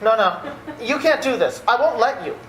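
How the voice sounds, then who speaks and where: A woman's voice: on the high side, lively intonation, moderate pace, close to an everyday voice. A woman playing a harried, protective young nephew in an old-time radio play, flustered and insistent. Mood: exasperated, frantic. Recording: roomy, slightly noisy amateur recording.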